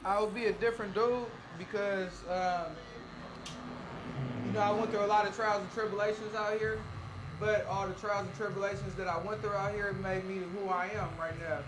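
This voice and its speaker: A man's voice: high voice, flat delivery, moderate pace. An American man from the Bay Area talking casually and slangily, often repeating words as he starts a thought. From Bay Area, California. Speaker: documentary interviewee. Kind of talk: casual street-level interview talk. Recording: echoey, noisy location recording.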